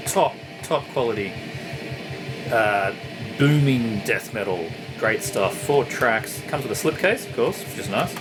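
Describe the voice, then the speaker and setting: A man's voice: fairly low pitch, low-quality recording. A man giving a casual, unscripted review in an enthusiastic, rambling way. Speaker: music reviewer presenting a video.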